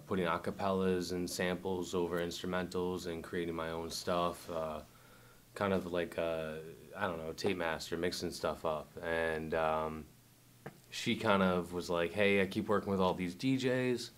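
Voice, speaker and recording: A very low-voiced man in a relaxed documentary interview. He talks in a loose, rambling way, full of fillers and restarts, the tone of someone chatting easily about his work. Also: a little slow. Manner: laid-back, rambling with many fillers. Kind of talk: casual interview.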